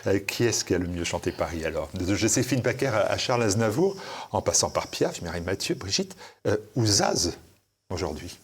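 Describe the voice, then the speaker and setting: A male host interviews his guest with long, leading questions broken up by brief hesitations. His voice is low and a little raspy. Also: sing-song, fairly quick.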